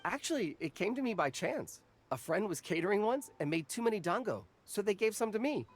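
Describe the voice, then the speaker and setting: A man's voice: high voice, big pitch swings, brisk, faint music behind. An actor voicing a nervous young snack vendor who stammers and apologizes while explaining his wares in a game cutscene.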